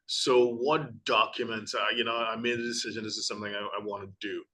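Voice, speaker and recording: Male interview host, bright crisp tone, polite and conversational. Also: fairly low pitch, fairly even intonation, fairly quick. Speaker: podcast host. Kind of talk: interview hosting.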